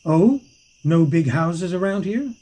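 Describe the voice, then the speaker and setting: A man's voice: on the high side, lively intonation, slow, warm tone. An actor playing a courteous, charming man on the run, guarded and a little hesitant, in an audio play. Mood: polite, guarded.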